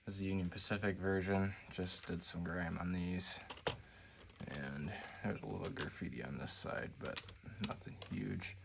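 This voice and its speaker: An American man hosting a hobby video in casual, unscripted talk, with a slightly raspy voice and a low-key, plain-spoken manner. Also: moderate pace, heavy background noise. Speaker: model railroad video host.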